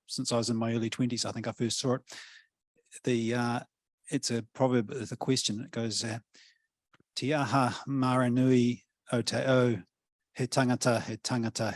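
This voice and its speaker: A middle-aged man with a New Zealand accent, giving a thoughtful panel talk with many pauses and restarts. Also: mid-range voice, no echo. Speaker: panel speaker, policy academic.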